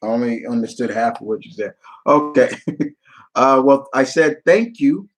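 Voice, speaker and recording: Casual male livestreamer, halting delivery. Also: medium pitch. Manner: halting, repeats phrases, reads questions aloud then answers. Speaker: livestream host answering viewer questions. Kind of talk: casual off-the-cuff Q&A chat.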